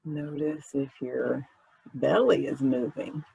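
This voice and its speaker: Slow, gravelly man guiding meditation. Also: mid-range voice, steady tone, poor audio. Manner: gentle, informal, unhurried phrasing. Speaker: meditation guide. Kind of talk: guided meditation, conversational instruction.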